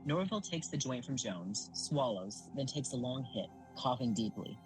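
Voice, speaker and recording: A man's voice: medium pitch, lively intonation, moderate pace, lightly acted, rough recording. A man reading stage directions as the narrator of an audio drama, in a scripted narration style. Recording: music under narration.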